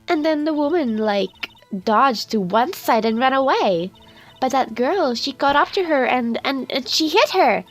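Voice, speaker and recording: A high-pitched flirty ditz. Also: female voice. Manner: coy, airheaded, breezy. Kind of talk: video game fandub acting. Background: music.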